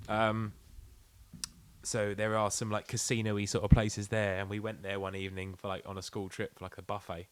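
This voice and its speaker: A man's voice: fairly low pitch, completely flat delivery, moderate pace, clear, resonant tone, no echo. A British man co-hosting a podcast, chatting casually and telling anecdotes in a sweary, blokey way. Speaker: podcast co-host. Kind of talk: casual comic banter.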